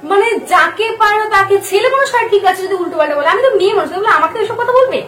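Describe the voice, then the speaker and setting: Female prank caller, quick and very high voice, teasing, stop-start delivery, echoey room. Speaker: prank caller, channel host. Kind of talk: casual teasing prank phone call. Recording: echoey room, faint music.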